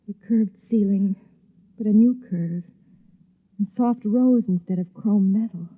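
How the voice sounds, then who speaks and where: Actress as vulnerable young wife, intimate and emotional vintage radio drama delivery, thin phone-like recording. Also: female voice, medium pitch, unhurried, natural voice. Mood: dreamy, tender. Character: frightened, remorseful young wife narrating her own ordeal. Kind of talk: 1950s radio drama acting. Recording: tinny 1950s radio recording, music behind.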